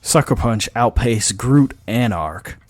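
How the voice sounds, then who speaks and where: A man's voice: medium pitch, animated, takes it slowly, no room sound. A man narrating a scripted science fiction story in a dramatic style.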